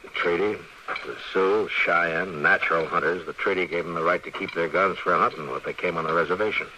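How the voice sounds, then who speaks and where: An actor with an American accent playing a steady, authoritative frontier lawman in an old radio western, asking direct, probing questions. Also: low-pitched, fairly quick, natural voice. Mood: measured. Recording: faintly noisy vintage radio recording.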